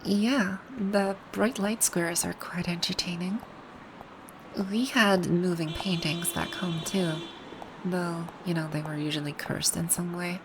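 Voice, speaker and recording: A woman playing a haughty, grouchy video game villain boss with a secretly soft side, speaking in imperious, formal grumbles in an ASMR roleplay. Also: on the low side, fairly even intonation, measured pace, noisy background. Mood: curious, approving. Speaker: audio roleplay voice actor.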